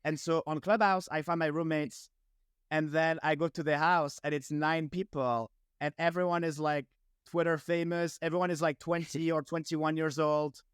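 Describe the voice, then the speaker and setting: A young man from France, still carrying a French accent in his English, talks as a guest with quick, upbeat energy. His voice sits a touch high and comes across smooth and clean, in a dry, close sound. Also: dry recording. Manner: upbeat, energetic, heavy use of "like". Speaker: guest entrepreneur. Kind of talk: casual interview conversation.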